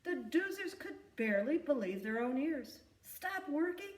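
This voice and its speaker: A woman's voice: high-pitched, big pitch swings, measured pace. Echoey woman reading a children's story. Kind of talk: read-aloud rhyming children's story. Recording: echoey room, distant from the mic.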